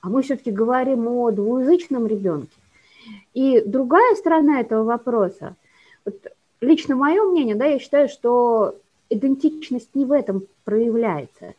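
A woman talking as a guest educator about correcting children's speech sounds, in a measured, explanatory way. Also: a little high, expressive. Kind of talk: explanatory conversation. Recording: dull lo-fi recording.

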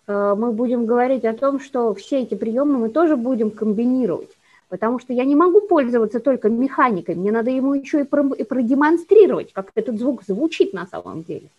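A woman talking as a guest educator about correcting children's speech sounds, in a measured, explanatory way. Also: on the high side, animated. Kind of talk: explanatory conversation. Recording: dull lo-fi recording.

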